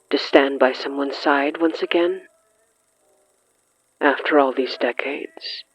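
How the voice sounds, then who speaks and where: Slow, measured narrator of dramatic horror fiction. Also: mid-range voice, dry recording, lo-fi sound. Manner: measured, deliberate, broken into short suspenseful phrases.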